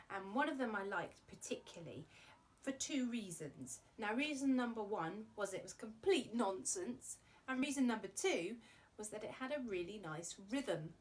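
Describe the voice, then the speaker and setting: A woman's voice: mid-range voice, slight background noise. A woman presenting a children's arts show, chatty and playful as she talks to young viewers and reads silly verse. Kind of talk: children's presenting and poem reading.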